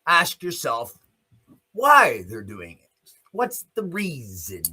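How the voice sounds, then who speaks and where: A man's voice: a little high, huge pitch swings, takes it slowly, bright, forceful tone, breathy edge. A man hosting a news commentary show, ranting off the cuff in a blunt, sardonic, profane manner. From Canada. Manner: blunt, sardonic, profane, with pointed rhetorical questions. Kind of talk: opinionated rant, spoken off the cuff.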